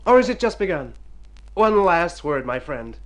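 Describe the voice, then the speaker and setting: An actor playing an excitable, self-pitying hypochondriac in an old radio drama, with a theatrical, florid delivery. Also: male voice, on the high side, moderate pace. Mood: lofty, rapturous. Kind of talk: golden-age radio drama acting.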